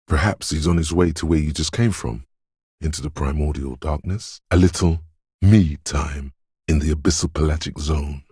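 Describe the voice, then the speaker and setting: A man's voice: darkish tone, no room sound, clean studio sound, heavily acted. A man voicing a dreamy, mystical inner voice of intuition in a video game, narrating in a brooding, theatrical and intimate way. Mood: hushed, dreamy. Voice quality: very deep, gravelly, husky. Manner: brooding, theatrical, addressing the listener as you.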